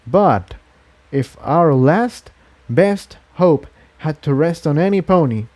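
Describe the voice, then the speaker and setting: A man's voice: a little high, expressive, slow pace, a little mellow, pitched above the performer's own voice. A man voicing an anxious, self-doubting female pony in a storybook reading, speaking earnestly and fretfully. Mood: sincere.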